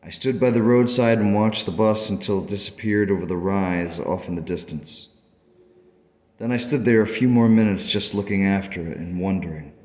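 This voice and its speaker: A man playing a grateful, thoughtful father in a radio play, speaking in a measured, sincere and reflective way. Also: fairly low pitch, a little mellow, close to an everyday voice. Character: grateful, thoughtful father telling his strange story. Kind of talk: radio play dialogue and first-person narration. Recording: long hall-like reverb.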